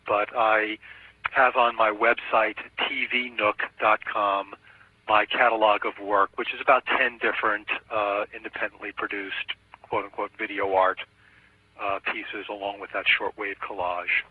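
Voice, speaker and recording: A man's voice: lowish voice, little pitch movement, normal speed, breathy edge. A middle-aged man being interviewed by telephone as a video editor and artist, explaining himself in a reflective, careful way. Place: on a telephone call. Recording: tinny phone line with room echo.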